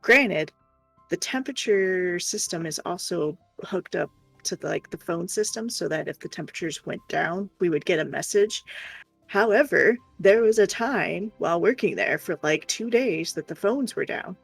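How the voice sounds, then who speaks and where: A woman's voice: fairly low pitch, lively intonation, moderate pace, creaky, slightly rough, no echo, low-quality recording. A woman streamer chatting casually and telling stories in a frank, exasperated, sweary way. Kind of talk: casual storytelling chat. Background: faint music.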